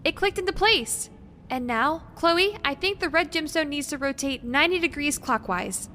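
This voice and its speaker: A woman's voice: sits high, lively intonation, normal speed, sharp tone. A woman playing a careful, bookish young researcher in an audio drama, earnest and precise, hesitant when unsure. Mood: absorbed, curious. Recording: faint background noise.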